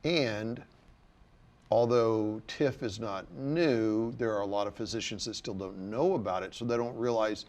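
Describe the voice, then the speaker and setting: A man's voice: lowish voice, unhurried, darkish tone. A man, a surgeon, chatting casually and explaining treatment options with an easy, explanatory manner. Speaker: surgeon guest. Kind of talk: casual panel chat.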